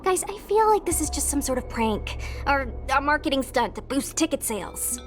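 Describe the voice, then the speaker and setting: A woman's voice: high voice, big pitch swings, normal speed, faint music behind. A woman voicing a chatty, pragmatic young ghost-hunting squad member in a video game. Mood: skeptical.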